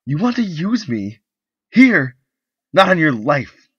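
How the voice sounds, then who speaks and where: A male narrator voicing a young wife who is angry and disgusted, his voice pushed high and strained. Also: very animated, slow pace, breathy edge, no room sound, heavily acted. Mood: outraged. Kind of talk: audiobook character voice. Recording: dull, lo-fi recording.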